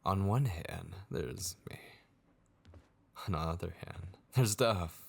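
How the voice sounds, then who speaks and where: A sinister, possessive male tormentor acted by a man speaks in a very deep voice full of gravel. He goes very slowly through an intimate horror ASMR monologue, coaxing and mocking with false tenderness, often sinking to a whisper. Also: exaggerated voice. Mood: grave, persuasive. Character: sinister, possessive, taunting male voice haunting a captive vampire.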